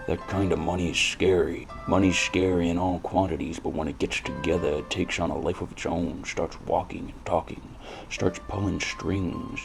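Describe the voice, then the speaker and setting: An American actor playing a world-weary, cynical, hard-drinking detective who narrates in a brooding, deadpan, gravelly noir style. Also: male voice, deep voice, exaggerated voice. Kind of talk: scripted first-person hardboiled noir narration.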